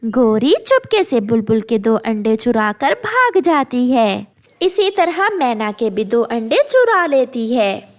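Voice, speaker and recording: A woman's voice: on the high side, sing-song, slow. A woman narrating a scripted story for children.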